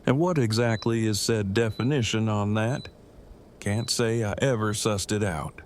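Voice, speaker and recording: A man's voice: fairly low pitch, measured pace, a little hiss or hum, natural voice. A man playing an awkward, self-protective survivor with stilted, formal, wordy speech in an audio drama. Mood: bitter, guarded. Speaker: voice actor.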